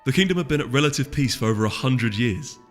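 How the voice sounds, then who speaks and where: Male audiobook narrator, middle pitch, music behind, noticeable reverb tail. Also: expressive, fast. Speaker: audiobook reader. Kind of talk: scripted story narration.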